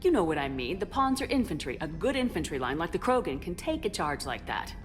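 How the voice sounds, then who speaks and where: Tough female commander, low voice, dry and direct, game dialogue. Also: sing-song, brisk, soft background music. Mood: wry, bantering.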